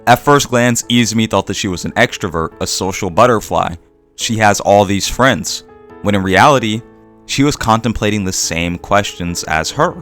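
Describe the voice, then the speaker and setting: A man narrating a scripted video essay in a reflective, measured way with deliberate pauses. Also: fairly low pitch, fairly bright tone. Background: background music.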